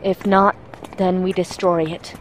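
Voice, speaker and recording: An actress playing a headstrong, short-tempered mentor in a fantasy audio drama, earnest and insistent. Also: female voice, on the low side, little pitch movement, measured pace, slight background noise, close to an everyday voice, low-quality recording. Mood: earnest, explaining.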